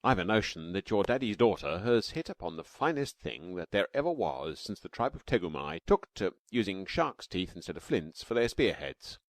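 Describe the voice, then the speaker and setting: A man voicing a fond, excited cave-man father who explains patiently. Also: low voice, moderate pace, hardly any pauses, no echo.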